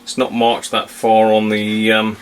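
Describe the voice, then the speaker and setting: A man's voice: fairly low pitch, monotone, unhurried. A man giving a casual, off-the-cuff demonstration as a hobbyist host, hesitant and trailing off into muttered asides. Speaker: radio hobbyist, video host. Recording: home recording with faint background hiss.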